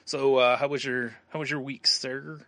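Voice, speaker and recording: A man with a bright, forward voice talks casually as a podcast co-host. He is joking and loose with profanity, and the sound is close and dry. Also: mid-range voice, flat delivery, normal speed, no room sound. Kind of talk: casual chat.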